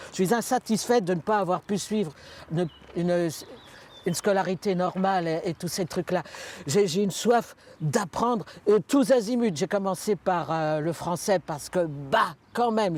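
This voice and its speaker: Hesitant man in his sixties, high voice. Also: a little mellow. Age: 60s. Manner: hesitant, repeats words, searching for words. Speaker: interviewee, adult bac candidate. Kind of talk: casual interview.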